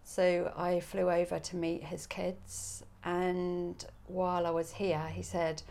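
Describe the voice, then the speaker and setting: Northern English woman priest, relaxed guest, unhurried delivery with a gravelly fry. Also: lowish voice. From Coventry, England. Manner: relaxed, chatty, laughs easily, many ums. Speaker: parish priest. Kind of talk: podcast interview.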